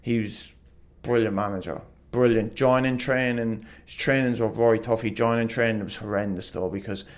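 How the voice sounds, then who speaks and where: A man's voice: lowish voice, steady tone, fairly quick, hardly any pauses. A young man, a former footballer, telling rambling stories in a casual interview, peppered with "like" and laughs. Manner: rambling, lots of "like", laughs often.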